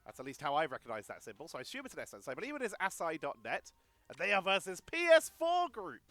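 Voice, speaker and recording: A man's voice: a little high, huge pitch swings, quick pace, fairly bright tone, no echo, soft background music. Nasal man calling an esports match. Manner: chatty, thinks aloud between calls. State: nasal from a cold. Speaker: esports caster. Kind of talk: solo play-by-play esports commentary. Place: home setup.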